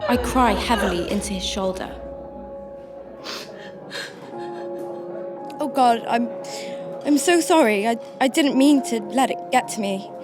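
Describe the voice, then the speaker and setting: High-voiced grieving mother played by an actress, emotional. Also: animated, close to an everyday voice. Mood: tearful, distraught. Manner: halting, emotional delivery with broken sentences. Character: grieving mother searching homeless shelters for missing husband. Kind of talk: radio drama acting and first-person narration. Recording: music under the voice.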